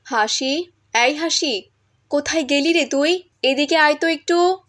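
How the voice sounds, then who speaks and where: A woman's voice: high voice, a little slow, fairly bright tone. A woman voicing a cruel village stepmother in a cartoon, bossy, snapping and sarcastic as she scolds.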